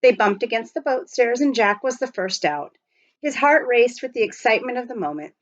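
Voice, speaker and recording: A woman reading a children's novel aloud as scripted narration, with expressive storytelling. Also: fairly high pitch, brisk, no room sound, muffled recording. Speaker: audiobook reader.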